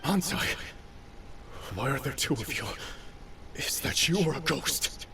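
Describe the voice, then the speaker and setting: Gravelly, haunted broken swordsman. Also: on the high side, expressive, a little slow. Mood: fearful. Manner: pleading, haunted. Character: broken, guilt-ridden swordmaster gone mad with fear. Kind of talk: video game voice acting.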